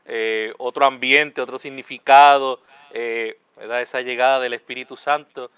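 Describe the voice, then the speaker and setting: A man, a friar hosting a talk show. His voice is mid-pitched and smooth. He speaks in a friendly way, often tagging his sentences with a question, in a dry, close recording. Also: little pitch movement, moderate pace, a bit bright, no room sound. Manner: friendly, repeats "¿verdad?" often. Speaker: host, Capuchin friar.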